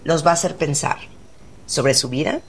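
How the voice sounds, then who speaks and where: A woman's voice: sits low, moderate pace, slight background noise. A woman hosting a radio interview in a chatty, upbeat way. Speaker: radio host. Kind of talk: radio interview and show links.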